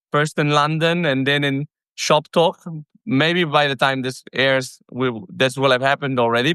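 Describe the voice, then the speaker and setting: An energetic man hosting a business podcast, with a smooth, resonant voice that sits in a middle register. The recording is close and dry, with no room sound at all. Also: on the high side, dry recording. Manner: energetic, talkative, self-correcting. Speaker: podcast host. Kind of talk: casual podcast interview.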